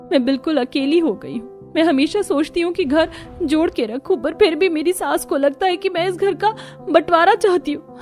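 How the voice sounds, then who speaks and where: Woman playing a meek young daughter-in-law, soft-spoken, dutiful, sorrowful, high mellow voice, cartoon family drama. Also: natural voice.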